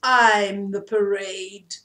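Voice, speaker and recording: Lady doing a snooty storybook peacock guy, super slow and emphatic, full of himself. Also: medium pitch, steady tone, pushed and bright, reverberant. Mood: haughty, proud. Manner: drawn-out, emphatic, self-important. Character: haughty, proud male peacock.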